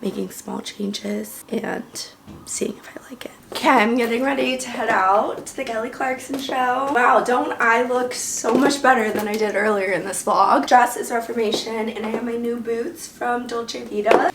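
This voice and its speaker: A young woman vlogger with a hoarse, fading voice, chatting casually to the camera and often slipping into a breathy half-whisper. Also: a little high, steady tone, normal speed, background noise. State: hoarse, losing her voice. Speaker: lifestyle vlogger. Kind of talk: casual vlog chat to camera.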